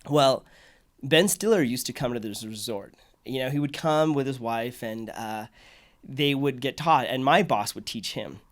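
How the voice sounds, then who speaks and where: A man hosting a podcast, telling a personal story in casual, animated conversation. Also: mid-range voice, unhurried. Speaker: podcast host.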